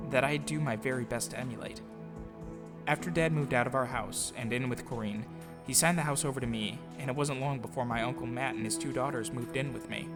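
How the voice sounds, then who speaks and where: A man giving an earnest, sincere scripted narration of his own life story in audio drama form. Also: medium pitch. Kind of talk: scripted autobiographical narration with acted dialogue. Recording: music behind the voice. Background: music.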